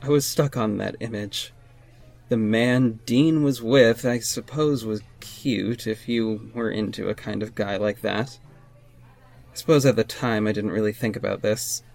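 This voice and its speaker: A wounded ex-lover, slow and earnest. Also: male voice, on the low side, gravelly, a little mellow. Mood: somber narrating. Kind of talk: audio drama acting and first-person narration.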